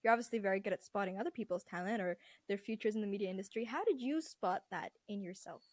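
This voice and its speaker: A woman's voice: medium pitch, fast, a little mellow, no room sound. A young adult woman hosting an interview, friendly and upbeat, who sometimes laughs as she talks. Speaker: podcast host. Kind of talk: interview host.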